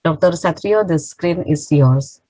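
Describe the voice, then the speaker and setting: A woman's voice: sits low, unhurried, dark tone, no echo, low-quality recording. A woman moderating a webinar over a video call, introducing a speaker hesitantly with many fillers. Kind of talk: formal introduction.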